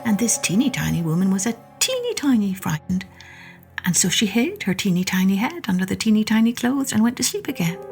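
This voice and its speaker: A woman narrating a children's story in an expressive, deliberate storyteller's manner. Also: medium pitch, gravelly, slightly rough, faint music behind. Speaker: storyteller, podcast host.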